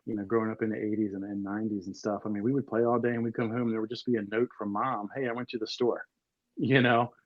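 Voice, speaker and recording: A man in his forties from Maryland rambles through his stories with plenty of fillers. His voice sits a bit low and sounds dull and lo-fi, with a dry, close feel. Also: level tone, fast talker, no echo. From Maryland, USA. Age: 40s. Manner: rambling storyteller, many fillers. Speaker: podcast guest. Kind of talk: casual chat.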